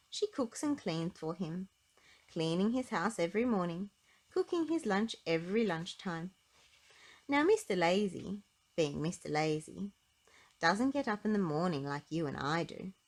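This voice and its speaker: A woman narrating a children's storybook aloud in an expressive, emphatic way with clear phrasing. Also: fairly low pitch.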